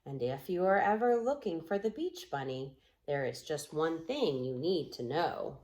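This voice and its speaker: Slow-paced woman reading a storybook aloud. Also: low-pitched, animated, a little mellow, slight background noise. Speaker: storybook reader. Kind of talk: storybook read-aloud in rhyming, poetic prose.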